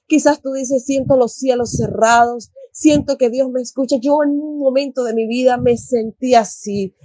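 A woman's voice: sits high, warm tone, no room sound, poor audio. A woman giving a devotional personal testimony in an earnest, exhorting manner. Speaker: church member giving a testimony.

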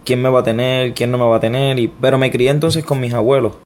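A man's voice: mid-range voice, steady tone, fast talker, a little mellow. A Puerto Rican man in his young adult years recounts his life to an interviewer. His speech stumbles and restarts often. He pokes fun at himself as he goes. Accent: Puerto Rican Spanish. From Puerto Rico. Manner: hesitant, frequent restarts, self-deprecating. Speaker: interview guest. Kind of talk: personal testimony interview.

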